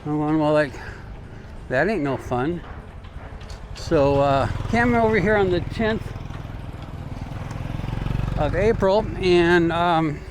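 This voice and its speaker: A man's voice: on the high side, expressive, slow pace, long pauses. An American man doing a casual, rambling travel vlog while walking, talking in an informal, folksy way. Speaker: travel vlogger. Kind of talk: casual rambling walk-and-talk chat. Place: outdoors, walking along city streets. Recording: clear outdoor street and traffic noise.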